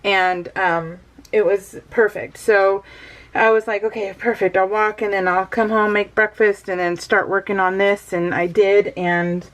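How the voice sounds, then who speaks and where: A woman's voice: medium pitch, little pitch movement, measured pace. Rambling, chatty middle-aged American woman. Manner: rambling, easily sidetracked. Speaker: craft vlogger. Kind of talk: casual chatty voice-over of a scrapbook layout.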